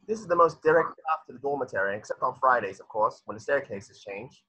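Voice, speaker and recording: A man's voice: medium pitch, animated, brisk, sharp tone, husky. A man playing a self-important teenage school prefect, officious and matter-of-fact, reading a script on lo-fi audio. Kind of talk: table read of a film script.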